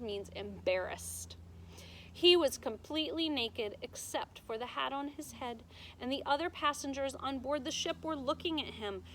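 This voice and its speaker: A woman teacher reading a children's storybook aloud in a deliberate, expressive way, explaining things to her students. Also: fairly high pitch, a little hiss or hum, low-quality recording.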